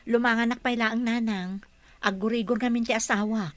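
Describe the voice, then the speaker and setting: A woman's voice: on the high side, fairly even intonation, normal speed, dry recording, lightly acted. A woman with an Ilocano accent acting a caring, dutiful young wife in a radio drama. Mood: respectful, kindly.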